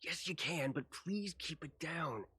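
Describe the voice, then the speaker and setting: An actor playing a reluctant, evasive orderly in a video game, talking as if keeping a deal quiet. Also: male voice, a little high, animated, measured pace, slightly rough, exaggerated voice. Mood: furtive.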